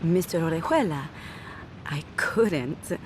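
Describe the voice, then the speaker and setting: Pouty girlfriend, lowish voice, slow, offended, video game scene with faint rumble. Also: animated. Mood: offended, coy. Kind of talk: video game cutscene acting.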